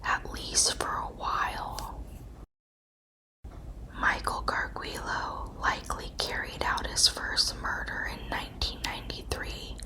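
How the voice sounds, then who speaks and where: A woman narrating a scripted true crime story in a breathy, unvoiced ASMR whisper, with measured, deliberate phrasing. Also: poor audio.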